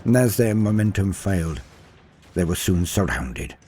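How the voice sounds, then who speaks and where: A man's voice: deep voice, lively intonation, a little slow, a little mellow. A man reading a scripted war story as narrator, in a dramatic storytelling style. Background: faint music.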